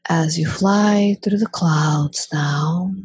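A woman leading a guided meditation in a soothing, breathy, hushed voice, drawing out and gently repeating phrases with long pauses. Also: sits low, no echo.

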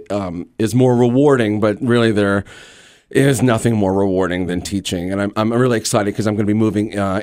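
Middle-aged male interview guest: low voice, dry recording, effusive and emphatic. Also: darkish tone, no room sound. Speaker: guest, actor and theater teacher. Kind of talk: interview conversation.

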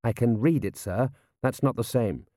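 A man voicing a nervous, hesitant young army lieutenant with halting speech in an audiobook. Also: mid-range voice, mellow, dry recording, clean studio sound, lightly acted. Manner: halting, stammering.

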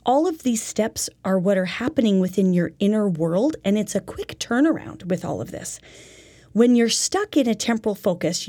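A woman's voice: medium pitch, dark tone, husky. A woman giving an instructional talk in an earnest, conversational, explanatory manner with everyday examples. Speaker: lesson teacher.

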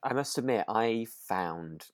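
A man co-hosting a podcast in relaxed chat, hesitant and correcting himself as he thinks aloud. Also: lowish voice, takes it slowly, no echo, studio quality. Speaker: podcast co-host. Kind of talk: relaxed chat reviewing a radio drama.

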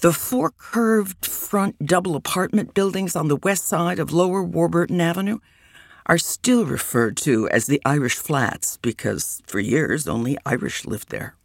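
A woman reading a first-person memoir aloud in an unhurried, reminiscing way. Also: on the low side, no room sound, clean studio sound.

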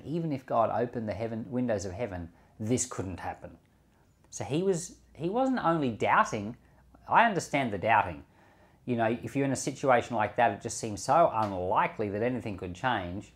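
Man with expressive, varied scripture-teaching delivery. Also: mid-range voice. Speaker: Bible podcast host and preacher. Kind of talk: scripture reading and informal devotional commentary.

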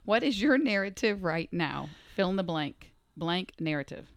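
A woman hosting a podcast who asks questions briskly and chats casually. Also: fairly low pitch, animated, no echo. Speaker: podcast host.

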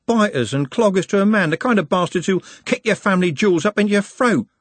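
A man's voice: high-pitched, expressive, fast, dry recording, voice pushed up. A man voicing a brash, cocky young working-class lad in an audiobook, slangy, blunt and mocking. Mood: aghast, indignant. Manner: slangy, blunt, matey banter.

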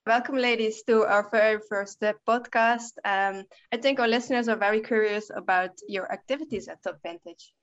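A woman hosts a relaxed podcast chat in a friendly tone, pausing on fillers now and then. Her voice sits a little high, and the recording has a slightly dull, lo-fi quality. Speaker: podcast host. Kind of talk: casual interview chat.

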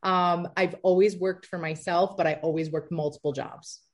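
A woman in her thirties, an entrepreneur answering interview questions in a chatty, lively way with many fillers. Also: lowish voice, moderate pace. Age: 30s. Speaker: entrepreneur guest.

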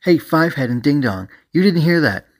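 A brash policewoman in an audiobook, acted out by a man. The voice is pushed up high and loud. She sounds indignant and bossy, with a short temper. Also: big pitch swings, brisk. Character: brash, hot-tempered female police deputy squad leader.

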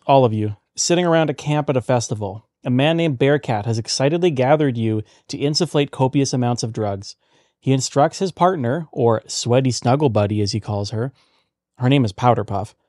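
A man's voice: medium pitch, darkish tone, no echo, clean studio sound. Man running a comedy tabletop game. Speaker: game master.

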